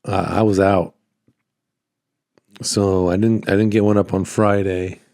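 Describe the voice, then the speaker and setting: A man hosting a casual solo podcast, rambling and halting, with a slightly hoarse voice that cuts in and out as he recovers from being sick. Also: sits low, flat delivery, darkish tone, no echo, clean studio sound. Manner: rambling, halting, repeats phrases. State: hoarse, voice cutting in and out after illness. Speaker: podcast host, small business owner.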